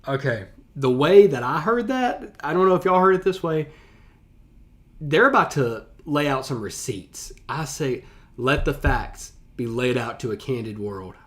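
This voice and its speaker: A man's voice: medium pitch, lively intonation, a little slow, a bit bright. A man speaking as a teacher in casual reaction commentary, explaining things conversationally and stressing key words.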